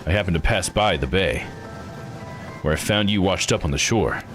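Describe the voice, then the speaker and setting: A man voicing a blunt, plain-spoken man from a visual novel game, gruff and probing. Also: sits low, normal speed, over music, lightly acted.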